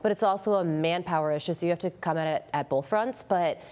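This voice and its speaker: A woman's voice: lowish voice, quick pace, a little mellow. A woman with an American accent commenting as a co-host on live television news.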